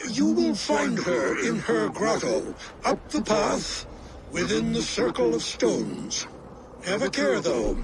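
Stately, high-pitched old giant king. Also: heavily acted. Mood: grave, cautioning. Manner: stately, theatrical, formal. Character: old male frost giant king, hospitable but commanding. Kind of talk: scripted video game dialogue. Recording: loud background rumble and ambience.

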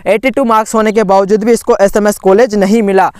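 A man's voice: sits high, steady tone, a little fast, darkish tone, smooth, no echo. A man, urgently walking viewers through admission lists over a screen recording, indignant and full of restarts. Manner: urgent, indignant, many restarts and "theek hai" fillers. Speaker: education channel host.

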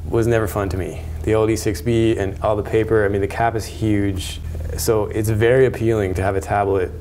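A man's voice: on the low side, little pitch movement, brisk, darkish tone, a little hiss or hum. A man training as a pilot, talking casually and reflectively about his learning. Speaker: student pilot. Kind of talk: casual reflective talk to camera.

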